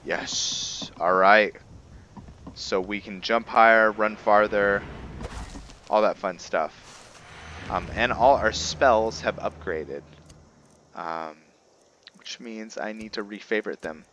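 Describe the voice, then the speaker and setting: Low, slow-talking man narrating gameplay. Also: dull audio, slight background noise. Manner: halting, thinking aloud. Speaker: gameplay host, roleplayer. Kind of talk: casual game commentary. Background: soft background game music.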